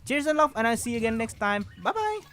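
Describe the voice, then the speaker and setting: Young man with lively pitch. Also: fast talker, faint music behind. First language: Indonesian. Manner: rambling, hesitant, self-correcting. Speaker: channel host. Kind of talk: casual channel update.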